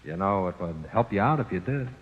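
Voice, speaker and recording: An actor playing the sinister, coaxing ghost of a convict, slangy and matter-of-fact, in 1940s radio drama with a reverb effect. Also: male voice, deep voice, expressive, a little fast. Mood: coaxing, menacing. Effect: long ghostly reverb. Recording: very muffled old radio, long reverb.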